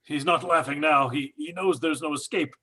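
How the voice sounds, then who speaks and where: A man playing a wounded, wry, self-sacrificing father, husky and gasping, in old time radio horror style. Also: on the high side, moderate pace, bright, forceful tone. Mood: grim urgency. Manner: gasping, strained, dramatic.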